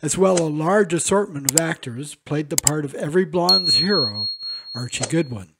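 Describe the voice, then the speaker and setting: A man hosting a classic radio show, reading a scripted intermission in a measured, informative way. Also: on the high side, lively intonation, background noise. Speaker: podcast host presenting old radio shows.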